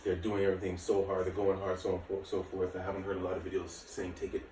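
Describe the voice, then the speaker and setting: Male fitness instructor, late 30s, very low voice, informal and reassuring, recorded at a distance from the mic. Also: monotone, quick pace, dark tone. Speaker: martial arts and fitness instructor. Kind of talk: casual workout instruction to camera. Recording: echoey room, distant mic, faint noise.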